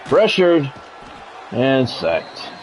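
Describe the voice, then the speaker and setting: A man's voice: medium pitch, huge pitch swings, very slow, some chatter behind, a little hiss or hum, rough recording. A man doing practised radio-style football commentary in clipped phrases, mixed with casual chat, as he streams a video game.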